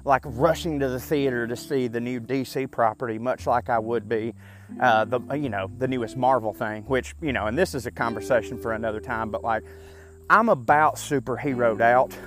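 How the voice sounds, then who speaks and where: A man's voice: medium pitch, normal speed. A man in his thirties with a Southern US accent, talking casually in a chatty, profane, rambling way as a podcast host. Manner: chatty, profane, self-deprecating, frequent fillers.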